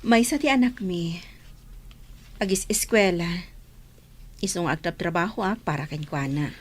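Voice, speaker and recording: A woman's voice: fairly low pitch, a little slow, husky. A woman playing a humble single mother asking for work as a caregiver, speaking meekly and respectfully. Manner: meek and respectful. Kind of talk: radio drama acting.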